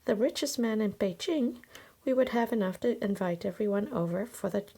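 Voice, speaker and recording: A woman's voice: mid-range voice, moderate pace, gravelly, warm tone, voice pushed down. A woman playing a weary, kindly, resigned poor father in a children's storybook.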